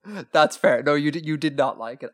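A man's voice: a little high, a little fast. A man co-hosting a podcast in casual chat, talkative and self-deprecating, with plenty of ums. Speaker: podcast co-host.

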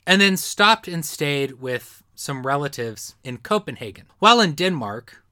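A man's voice: on the high side, big pitch swings, unhurried, bright, forceful tone, no echo, clean studio sound. A man presenting a history talk in a semi-improvised storytelling style, explaining with emphatic phrasing.

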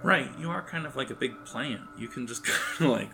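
A man narrating an improvised tabletop game as game master, in a halting, conversational way, speaking directly to his players. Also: mid-range voice, lively intonation, gravelly, sharp tone, soft background music, poor audio.

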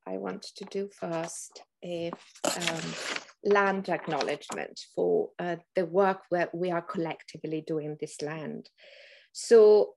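A woman with an Italian accent hosting an academic podcast, speaking thoughtfully and pausing often with ums as she searches for words. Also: lowish voice, darkish tone. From Northern Italy. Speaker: podcast host, anthropology professor. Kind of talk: podcast introduction.

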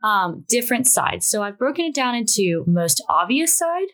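A young woman with a raspy voice co-hosting a podcast, upbeat and leading the talk. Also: a little high, very animated, moderate pace, a bit bright. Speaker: podcast co-host. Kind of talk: casual chat.

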